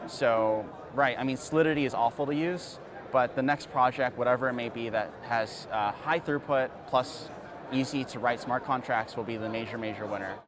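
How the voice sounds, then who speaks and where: A man's voice: medium pitch, moderate pace, crowd noise. Hesitant male investor guest. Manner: hesitant, frequent fillers, boastful. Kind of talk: casual interview answers.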